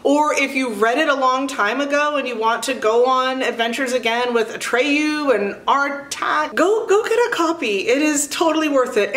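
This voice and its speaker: A woman's voice: sits high, fairly bright tone, reverberant. A middle-aged woman giving casual book recommendations in a chatty, enthusiastic, emphatic way, as a video host.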